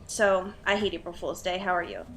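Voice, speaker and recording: A woman's voice: on the low side, fairly quick, a bit bright, a little hiss or hum. A young woman co-hosting a casual comedic podcast, swearing freely and exaggerating in slangy talk. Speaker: podcast co-host. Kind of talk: casual comedic book discussion.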